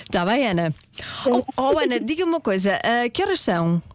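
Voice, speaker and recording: A woman's voice: on the high side, sing-song, moderate pace, background noise. A woman radio host with a European Portuguese accent, chatting and laughing on a live show in the studio. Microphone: studio radio mic.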